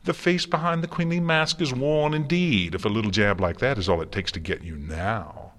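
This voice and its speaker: A man's voice: mid-range voice, moderate pace, fairly bright tone, pitched above the performer's own voice, lightly acted. A man voicing a mad, gleefully malicious chaos creature in a storybook, theatrical, taunting and crooning. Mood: gloating. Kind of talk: dramatic storybook character reading.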